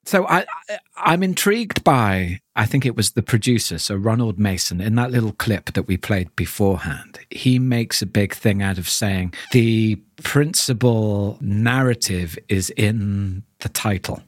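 A man's voice: lowish voice, lively intonation, unhurried, hardly any pauses, no room sound, studio quality. A man co-hosting a podcast, casually discussing an audio drama and thoughtfully rephrasing as he goes. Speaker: podcast co-host.

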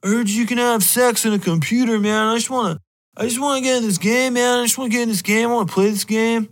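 A man's voice: very high-pitched, fast talker, pitched above the performer's own voice. A man voicing a laid-back stoner dad who just wants to play games, whiny and insistent in dude-speak. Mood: eager, whiny. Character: laid-back stoner dad, casual gamer, pleading and simple.

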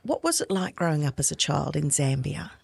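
Low-pitched Australian woman hosting a podcast. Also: very animated, brisk, vocal fry, breathy edge, no room sound, clean studio sound. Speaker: podcast host. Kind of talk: scripted introduction and friendly interview.

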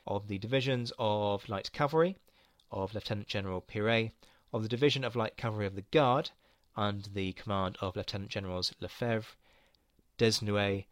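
A man giving a formal, measured reading of a historical letter. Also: on the low side, dry recording, clean studio sound. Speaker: reader of historical accounts.

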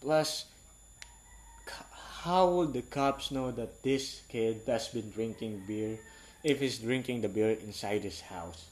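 An eighteen-year-old man from Southeast Asia, English not his first language, talking frankly in a rambling, halting casual monologue. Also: mid-range voice, darkish tone, a little hiss or hum.

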